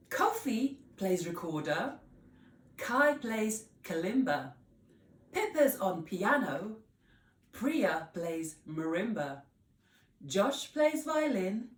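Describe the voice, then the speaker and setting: A woman's voice: fairly high pitch, full character voice. A woman voicing a bossy, brash female band singer in a children's storybook, exclamatory and commanding. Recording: roomy echo, distant microphone.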